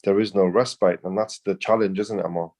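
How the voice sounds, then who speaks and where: A man's voice: low voice, steady tone, fast talker, a little mellow. A man co-hosting a casual business chat, rambling through his points with many fillers and restarts. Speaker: co-host.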